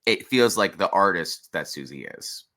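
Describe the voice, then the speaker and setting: A man's voice: low voice, animated, moderate pace, sharp tone. A man co-hosting a podcast, offering casual, opinionated commentary in a conversational way. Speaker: podcast co-host reviewing drag runway looks.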